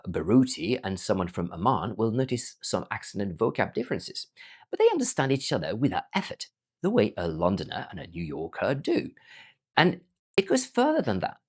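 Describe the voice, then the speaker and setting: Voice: mid-range voice, breathy edge. A language teacher who learned Arabic as a foreign language, giving an emphatic scripted explainer. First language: not Arabic, learned it as a foreign language. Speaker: language teacher presenting their own learning platform.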